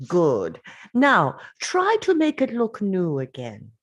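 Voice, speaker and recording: Stern grandmother, slow and wildly swooping, played by a woman. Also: fairly low pitch, wildly swooping pitch, full character voice. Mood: solemn, stern. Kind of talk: table read of a TV script.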